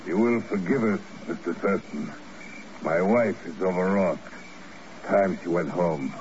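An actor playing a controlling, coldly courteous rich prince, formal and commanding, in a 1950s radio drama. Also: male voice, low voice, measured pace, darkish tone. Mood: icy, polite. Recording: muffled, noisy vintage radio recording.

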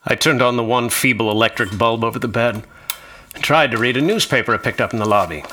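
An actor playing an anxious, bookish traveler, narrating his ordeal in a radio drama with formal, uneasy wording and a husky voice. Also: mid-range voice, quick pace, sharp tone, slight background noise, natural voice. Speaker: narrator and lead character. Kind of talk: scripted first-person radio drama narration.

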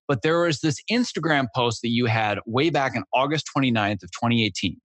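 A male podcast host leans into his questions with eager energy, tripping over his starts and circling back. The sound is dry and close, with no room around him. His pitch sits in an ordinary middle range. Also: expressive, a little fast, a bit bright, no echo. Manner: hesitant, restarts often, enthusiastic. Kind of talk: casual interview conversation.

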